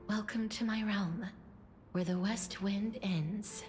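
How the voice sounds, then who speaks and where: A woman playing a serene demigod of death in a game, speaking in a calm, graceful and formal poetic manner. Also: medium pitch, takes it slowly, slight background noise, natural voice. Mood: calm, regal. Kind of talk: game voice acting, poetic monologue. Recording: prominent music behind the voice.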